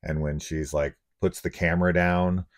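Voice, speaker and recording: A man's voice: sits low, little pitch movement, normal speed, no room sound, clean studio sound. A man co-hosting a horror review show, talking casually and conversationally about films. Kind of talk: casual conversational film discussion.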